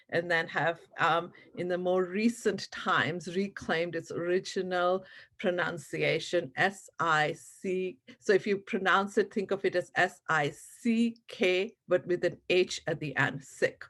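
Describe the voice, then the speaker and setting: Earnest woman from India explaining slowly. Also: lowish voice, fairly bright tone. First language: Punjabi. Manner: earnest, explanatory, with frequent fillers and "right?" checks. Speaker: educator presenting on Sikhism. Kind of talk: online presentation to teachers. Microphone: video call.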